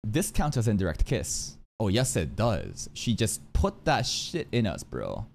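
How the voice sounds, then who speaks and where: A young man doing excitable livestream reaction commentary, slangy and crude in his joking, speaking close to a boom-arm mic. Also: a little slow, vocal fry, slight background noise. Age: young adult. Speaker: streamer reacting to a game trailer. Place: small indoor room. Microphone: boom-arm microphone up close.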